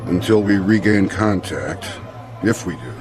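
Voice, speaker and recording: A mission control officer for a science fiction radio play. His voice is low and expressive, with a clipped, procedural delivery that stays matter-of-fact under pressure. Music runs clearly behind him. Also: unhurried. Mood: grave, matter-of-fact.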